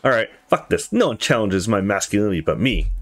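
A man's voice: fairly low pitch, sing-song, a little fast, a bit bright, soft background music, pitched below the performer's own voice, heavily acted. A man voicing a cocky, playful, casual male hero from a visual novel. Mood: brash. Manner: brash, casual. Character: cocky, playful man who likes sparring and guns.

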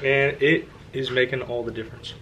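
A man's voice: mid-range voice, fairly even intonation, normal speed, sharp tone, dull audio, a little hiss or hum. A young man vlogging casually about his own truck build, chatty and self-deprecating, filmed on a handheld phone in his home garage. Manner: self-deprecating, chatty, talks to camera while working. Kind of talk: casual DIY vlog.

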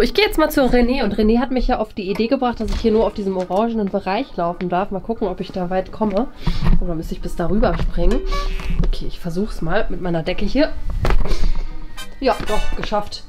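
A woman's voice: mid-range voice, a little fast. A woman in her thirties talking casually as a vlog host, recorded indoors on a handheld camera. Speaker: video host. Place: indoor rooms, studio and office. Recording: music behind the voice. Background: background music.

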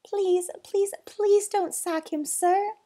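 A woman's voice: medium pitch, expressive, slow, a little mellow, slightly rough. A woman voicing a timid, earnest young boy in a storybook, prone to nervous stammering. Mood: pleading. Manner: stammering, spluttering.

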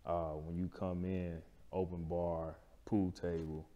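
A man's voice: low-pitched, level tone, takes it slowly, warm tone, highs cut off. A man, talking casually as an interview guest and explaining things in colloquial terms, often checking in with the listener. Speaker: barbershop owner, interview guest. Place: indoor lounge-style studio. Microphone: lapel mic. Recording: reverberant room.

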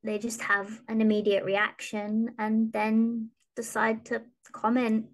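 A young woman with a high voice, chatting casually as a podcast guest. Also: completely flat delivery, slow pace. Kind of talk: casual chat. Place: small room at home, video call.

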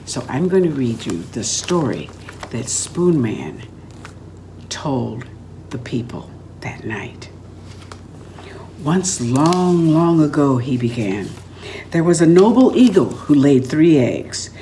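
An older woman reading a story aloud in an expressive, dramatic and deliberate storytelling manner. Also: a bass voice, slight background noise. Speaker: author reading aloud from own book. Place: room at home, indoors.

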